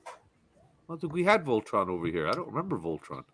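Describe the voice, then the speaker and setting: A North American man co-hosting a podcast, bantering casually in an animated, teasing way. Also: lowish voice, quick pace, slight background noise. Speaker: podcast co-host.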